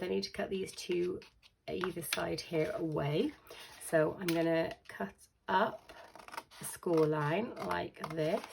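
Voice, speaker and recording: A British woman with a croaky voice hosting a casual craft tutorial, chatty and full of ums as she explains each step. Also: lowish voice, background noise. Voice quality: croaky, with a lingering cough. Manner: chatty, with frequent ums and self-corrections.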